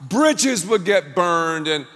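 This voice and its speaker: A middle-aged male pastor preaches with a high voice and slow, measured delivery, breaking into emphatic shouts. His sound rings through a large church hall with a long reverb. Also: lively intonation. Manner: dramatic preaching, shouts acted quotes. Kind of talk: sermon.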